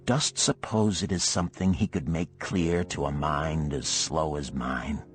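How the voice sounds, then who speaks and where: A man's voice: a bass voice, fairly even intonation, unhurried, faint music behind, pitched below the performer's own voice. A man voicing a weary, thoughtful chariot builder of ancient Babylon in a storybook parable, with brooding, archaic phrasing. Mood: wishful.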